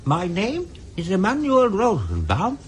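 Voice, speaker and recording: Old guy, formal n insistent, high swooping voice, radio drama w/ faint noise. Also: huge pitch swings, heavily acted. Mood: formal, firm. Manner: formal, deliberate.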